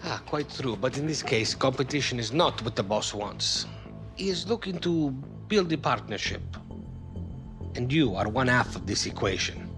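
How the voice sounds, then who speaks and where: A man playing a foreign football club executive in a game cutscene, courteous and halting in accented English. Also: mid-range voice, lively intonation, close to an everyday voice. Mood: worried, confiding. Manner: courteous, halting non-native phrasing. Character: smooth foreign football club executive, anxious under pressure. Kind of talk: video game cutscene acting. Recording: music behind the voice.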